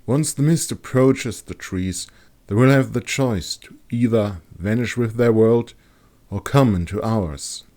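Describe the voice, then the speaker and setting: A man voicing a thoughtful, grave woman explorer who muses in a measured way in an audiobook. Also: lowish voice, a little mellow, pitched above the performer's own voice, close to an everyday voice. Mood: musing. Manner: musing, measured. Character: thoughtful woman in fantasy expedition, musing gravely.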